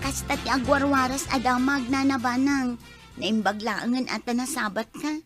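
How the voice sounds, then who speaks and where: A woman's voice: high-pitched, steady tone, normal speed, over music, lightly acted. A woman playing a gossipy village woman who likes to grumble, in a comedy radio drama. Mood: gossipy, indignant.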